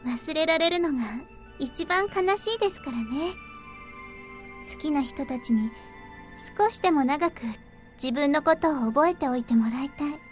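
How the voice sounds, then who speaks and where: Sweet meek young maid in a visual novel, high and quick, music behind. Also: female voice, animated. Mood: wistful. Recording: game audio with music underneath.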